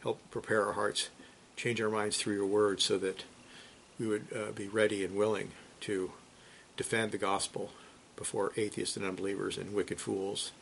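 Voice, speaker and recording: A man's voice: fairly low pitch, little pitch movement, normal speed, vocal fry, fairly bright tone, a little hiss or hum, poor audio. A man who teaches a weekly Bible study, reading a theology text aloud with emphasis, then commenting with frequent restarts.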